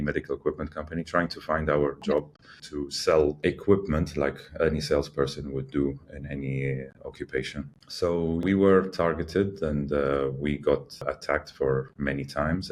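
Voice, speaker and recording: A man in his forties from Iraq, a podcast guest speaking in a measured, hesitant way with many fillers and restarts. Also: extremely low pitch, a little hiss or hum. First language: English learned first, also Arabic. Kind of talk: reflective interview talk.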